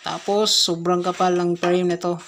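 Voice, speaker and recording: A woman with a Filipino accent giving a casual hands-on product review, speaking off the cuff in short, halting phrases. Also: fairly low pitch, little pitch movement.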